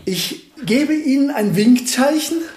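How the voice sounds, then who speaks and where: An older actor in a radio play, playing an eccentric, excitable elderly male physicist with formal, pedantic, courteous phrasing. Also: very high-pitched, takes it slowly, full character voice. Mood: excited, commanding. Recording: roomy echo, faint noise.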